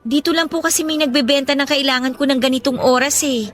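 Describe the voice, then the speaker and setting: A woman's voice: sits high, steady tone, fast talker, fairly bright tone. A woman playing a worried, polite young schoolgirl who keeps questioning her father in a radio drama. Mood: worried, curious. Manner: respectful, insistent questioning. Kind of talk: radio drama acting in Tagalog.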